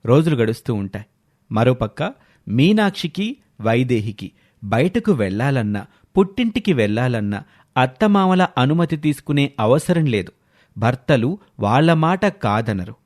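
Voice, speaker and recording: A man's voice: medium pitch, sing-song, normal speed, a little mellow. A man narrating a scripted moral story as a storyteller.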